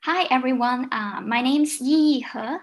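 A woman's voice: high-pitched, a little slow. A young woman from mainland China, a Cantonese speaker, answering interview questions with frequent uhs and likes. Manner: hesitant, many uhs and likes. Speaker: guest, PhD candidate.

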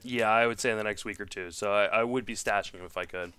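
A man's voice: fairly low pitch, quick pace, sharp tone, clean tone, no echo. A man hosting a podcast, talking casually and thinking aloud about sports, a native French speaker. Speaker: podcast host. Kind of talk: casual sports talk, fantasy baseball discussion.

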